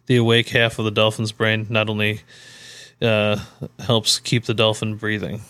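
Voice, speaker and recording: A man's voice: on the low side, flat delivery, moderate pace, a bit bright, clean tone. An American man chatting casually as a podcast co-host, rambling and joking in an informal way.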